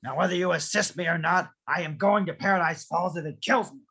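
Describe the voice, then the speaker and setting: An actor playing a bitter, stubborn old man with a husky voice and curt, scolding manner in an animated film table read. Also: medium pitch, a little fast. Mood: determined.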